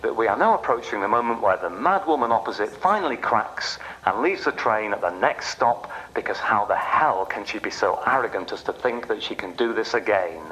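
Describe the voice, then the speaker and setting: Bright-voiced sardonic train announcer. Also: male voice, on the low side, expressive, brisk, hardly any pauses, slight background noise, rough recording. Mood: scathing. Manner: mocking, conversational, mimicking announcement patter. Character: sardonic train announcer voicing passengers' inner doubts. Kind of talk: radio play acting.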